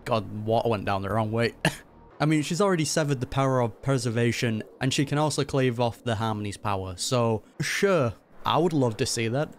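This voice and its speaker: A man's voice: medium pitch, moderate pace. A man giving casual, slangy reaction commentary on a video game, with a wry manner. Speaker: gaming reaction host. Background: game music.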